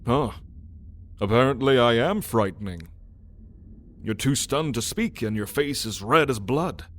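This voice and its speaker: A playful, blunt old dragon in human shape acted out by a man for an ASMR fantasy roleplay. He talks slowly in a mid-pitched male voice, wry and theatrical, with faint noise underneath.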